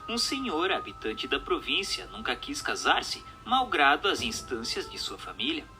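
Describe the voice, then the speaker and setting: A man with a Brazilian Portuguese accent reads a book aloud as a narrator, in formal, measured, dramatic storytelling. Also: mid-range voice, big pitch swings, sharp tone. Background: music.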